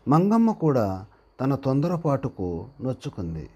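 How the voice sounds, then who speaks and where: Male storyteller for read-aloud moral tales: husky, mellow, unhurried, phrase-by-phrase delivery with pauses. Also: medium pitch, huge pitch swings, lo-fi sound. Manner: deliberate, pausing after short phrases. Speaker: narrator of a handwriting and moral story channel.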